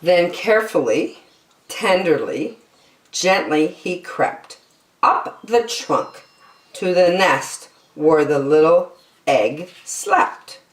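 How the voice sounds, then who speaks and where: A woman's voice: lowish voice, lively intonation, slow pace, reverberant, rough recording. A woman storyteller reading a children's picture book expressively.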